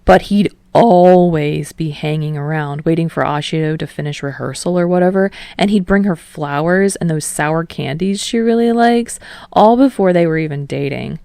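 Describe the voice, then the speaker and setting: A woman's voice: low voice, normal speed, creaky, mellow, smooth, no echo. A woman voicing an easygoing, earnest young man who talks in a casual, rambling way in a story reading.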